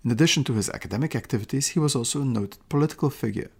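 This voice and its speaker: A man with a gravelly, low-middle voice delivers a podcast lecture. He explains steadily and carefully, now and then restarting a phrase before carrying on. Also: racing, a little mellow. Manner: quotes passages aloud. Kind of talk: lecture-style podcast narration.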